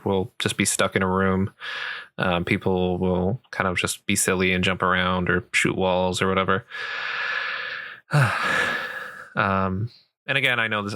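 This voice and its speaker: A man playing a sweet, patient gamer boyfriend, softly and gently explaining a game in a casual, rambling roleplay. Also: deep voice, no room sound, studio quality. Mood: patient, reassuring. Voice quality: soft, creaky voice. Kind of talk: roleplay ASMR, casual improvised game tutorial.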